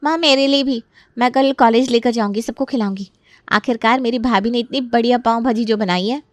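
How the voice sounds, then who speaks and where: A woman's voice: on the high side, a little fast, no room sound, pitched above the performer's own voice, lightly acted. A woman voicing an eager, gushing college-age sister in an Indian family cartoon story.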